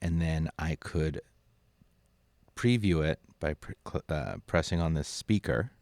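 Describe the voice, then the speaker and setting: Low-voiced man giving a casual tutorial. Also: measured pace, gravelly, a little mellow. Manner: informal, thinking aloud. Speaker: audio software instructor. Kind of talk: casual screen-recorded tutorial narration.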